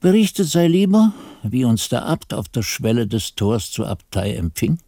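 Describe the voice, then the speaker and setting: An actor playing an old monk who recounts his youth in measured, ornate literary narration for a radio play. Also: medium pitch, big pitch swings, warm tone, no room sound, clean studio sound. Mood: reflective. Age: older.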